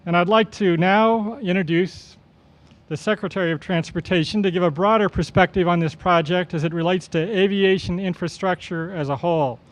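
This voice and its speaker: A man with a high, smooth and resonant voice addresses a public ceremony as its host. His delivery is formal and measured, with slightly halting phrasing, like an official reading prepared remarks. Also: low-quality recording. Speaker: airport official hosting a ceremony.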